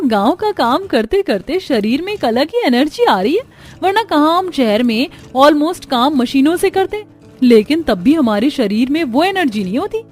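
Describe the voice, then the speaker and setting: Woman voicing a high-pitched, put-upon young daughter-in-law. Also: darkish tone. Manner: exclaiming, self-pitying, dramatic. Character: put-upon young city-bred daughter-in-law, grumbling but plucky. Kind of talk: Hindi cartoon story dialogue.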